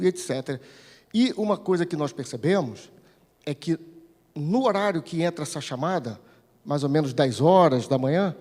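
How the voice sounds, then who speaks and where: A Brazilian man with a somewhat high voice presents at a conference. He explains things in an informal, didactic way, posing questions and then answering them. The hall gives his voice a long reverb. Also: a little mellow. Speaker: speaker at a conference, regional TV news editor-in-chief. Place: large indoor conference hall.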